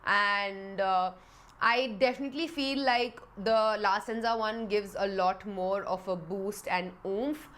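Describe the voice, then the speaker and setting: A woman's voice: mid-range voice, takes it slowly, a bit bright. A young woman in her twenties, a little nasal and congested, chatting frankly to her vlog audience and laughing often. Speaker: beauty vlogger. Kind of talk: casual chat to camera. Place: home in Dubai.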